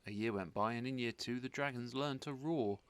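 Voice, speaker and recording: A man reading a rhyming children's story aloud as an expressive narrator. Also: mid-range voice, moderate pace, smooth. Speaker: storybook reader.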